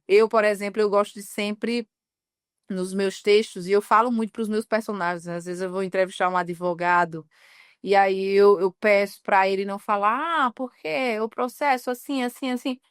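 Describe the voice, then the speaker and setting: A woman journalist from Northeast Brazil, in her thirties, talking earnestly in an interview and slowing down on key words. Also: mid-range voice, fairly even intonation, smooth, dry recording, clean studio sound. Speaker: journalist guest. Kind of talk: reflective interview talk.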